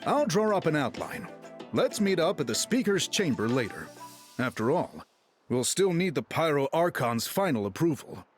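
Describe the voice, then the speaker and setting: An actor playing a composed, exacting scholar in a video game, explaining things in a formal, measured way. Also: male voice, fairly high pitch, big pitch swings. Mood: businesslike. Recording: background music behind voice.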